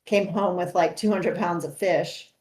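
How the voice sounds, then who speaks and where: A woman's voice: on the low side, steady tone, measured pace, darkish tone. A woman trader chatting casually with a host, laughing easily. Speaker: guest trader. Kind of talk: casual chat.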